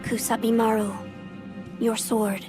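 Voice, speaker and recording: A calm young noble boy voiced by a woman in a game speaks in a high, level voice. He is formal, measured and regal, with music behind him. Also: close to an everyday voice. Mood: solemn.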